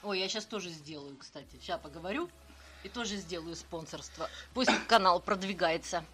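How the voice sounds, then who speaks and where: A woman's voice: fairly low pitch, moderate pace, sharp tone. A woman from southern Russia co-hosting a live stream, chatting casually and thanking viewers by name as she reads donations. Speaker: stream co-host reading donations.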